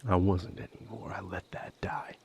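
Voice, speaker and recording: A man playing a weary, wounded vampire healer in an intimate audio roleplay, speaking in a breathy, gravelly, halting and earnest way. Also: sits low, level tone, lo-fi sound, slight background noise. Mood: pained, earnest. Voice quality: breathy, gravelly, half-whispered. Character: weary, wounded male vampire healer leaving his house.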